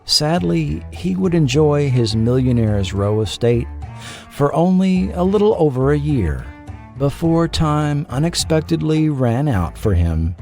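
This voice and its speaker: A man hosting and narrating a scripted documentary podcast, with a measured delivery and dramatic pauses. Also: fairly high pitch, very animated, vocal fry, a little mellow, soft background music.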